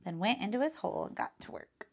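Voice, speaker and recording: A woman reading a children's picture book aloud as a teacher, in an expressive, instructive style. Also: mid-range voice, quick pace, vocal fry, breathy edge.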